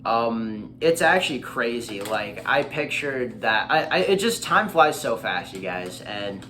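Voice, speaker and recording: A man's voice: medium pitch, lively intonation, measured pace, sharp tone. Hesitant American teenage guy, background noise. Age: late teens. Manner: hesitant, rambling, repeats himself. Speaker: vlogger and streamer. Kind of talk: casual chat to camera, reading and reacting to a letter.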